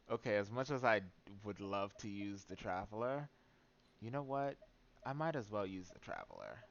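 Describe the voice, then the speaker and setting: Low-voiced man casually streaming a game. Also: sing-song, normal speed, gravelly. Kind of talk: casual live game commentary. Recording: dull stream audio with noise.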